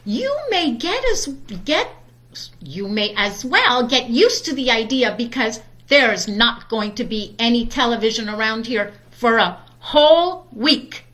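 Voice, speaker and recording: A woman's voice: on the high side, lively intonation, unhurried, fairly bright tone. A woman voicing a firm, exasperated mother bear from a children's storybook, speaking emphatically. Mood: firm, scolding.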